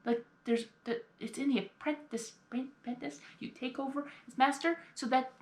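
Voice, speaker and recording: A woman's voice: sits high, fairly even intonation, moderate pace. A woman giving casual reaction commentary, explaining things in an emphatic, exasperated way. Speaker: reaction video host. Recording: echoey room.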